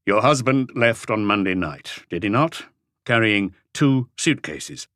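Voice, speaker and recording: A man voicing a calm, dogged police chief inspector in an audiobook, measured and laconic. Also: on the low side, no room sound, studio quality. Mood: probing. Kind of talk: audiobook character voice.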